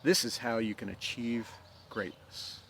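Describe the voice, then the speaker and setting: A man reading a story and giving an inspirational message, deliberate, with emphatic pauses. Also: mid-range voice, steady tone, creaky, fairly bright tone, slight background noise. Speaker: storyteller host.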